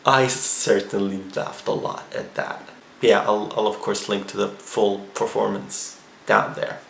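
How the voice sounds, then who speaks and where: A man's voice: lowish voice, steady tone, unhurried, gravelly. A man casually recapping his trip in a chatty, rambling vlog. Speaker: vlogger. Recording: echoey room with faint ambient noise.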